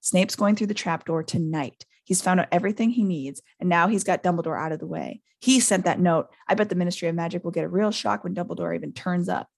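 A man's voice: high-pitched, fast talker, gravelly, few pauses. A woman voicing an earnest schoolboy hero with an urgent, insistent manner in a storybook reading.

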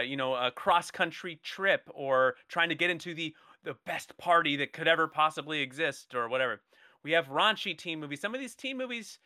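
A man's voice: fairly high pitch, pushed and bright, clean tone, dry recording, clean studio sound. A young man hosting a casual comedy podcast, running a draft game in a playful, rambling, jokey way.

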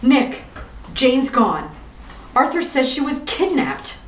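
A woman plays a poised detective's wife in a retro radio play, with a bright, lively voice. She speaks crisply and politely. The voice sits off mic in an echoey room with faint noise. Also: high-pitched, slow pace, distant mic, full character voice. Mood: concerned. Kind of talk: amateur retro radio drama acting.

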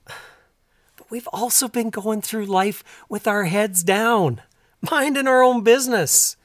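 An actor with a Canadian accent playing a troubled, earnest middle-aged rural husband in an audio drama, speaking plainly and haltingly. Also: male voice, high-pitched, dry recording, clean studio sound. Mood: subdued, resolute. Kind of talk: naturalistic audio drama acting.

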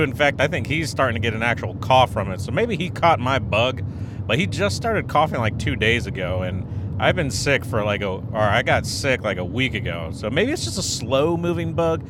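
Rambling podcast host, stuffy throat. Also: male voice, animated, moderate pace. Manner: rambling, self-correcting, joking and laughing at himself. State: stuffy nose and throat after a cold. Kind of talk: casual solo podcast chat. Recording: noisy with background rumble.

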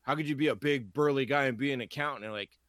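A man's voice: medium pitch, quick pace, fairly bright tone, no room sound, clean studio sound. A man co-hosting a podcast, ranting casually with lots of swearing and mocking quoted voices. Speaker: podcast co-host.

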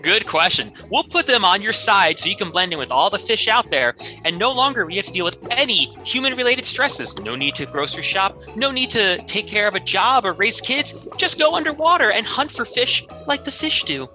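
Man acting a high, punchy, slick commercial salesman. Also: sing-song, sharp tone, soft background music, full character voice. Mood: upbeat selling. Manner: brisk, emphatic, persuasive. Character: upbeat slick surgery salesman in a mock commercial.

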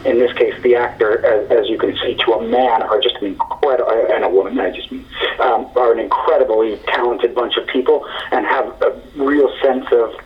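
A man's voice: mid-range voice, fairly even intonation, moderate pace, distant mic, lo-fi sound. A man in his forties, a screenwriter being interviewed, talking thoughtfully with many fillers and restarts. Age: 40s. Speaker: screenwriter guest. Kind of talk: interview conversation.

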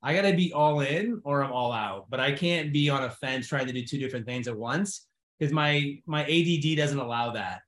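A man's voice: medium pitch, animated, normal speed, fairly bright tone. A middle-aged man moderating a founders' panel, chatting casually and rambling a little into his own experience. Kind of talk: casual interview chat. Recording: roomy, echoing sound.

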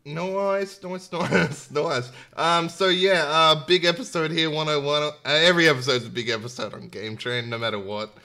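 Bright-voiced Australian man hosting casually. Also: fairly high pitch, steady tone, moderate pace, few pauses. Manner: informal, jokey. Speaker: podcast host. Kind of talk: casual gaming podcast chat and news rundown.

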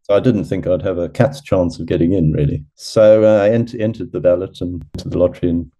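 A man's voice: deep voice, steady tone, fairly quick, warm tone. A middle-aged man, originally from Zimbabwe, telling long chatty stories as a podcast guest and laughing at himself. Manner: chatty, self-deprecating, laughs at own stories. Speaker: podcast guest, ultra runner. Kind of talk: casual storytelling interview.

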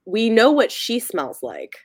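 A woman's voice: fairly high pitch, huge pitch swings, a little slow, husky, dry recording, clean studio sound. A woman podcast host in a casual, chatty book discussion, musing aloud and prone to giggling.

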